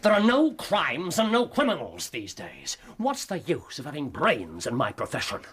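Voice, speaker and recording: An actor in a radio drama playing a brilliant, vain consulting detective who speaks with precise, lecturing self-assurance. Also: male voice, fairly high pitch, huge pitch swings, normal speed, fairly bright tone, slightly rough, heavily acted. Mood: frustrated, boastful.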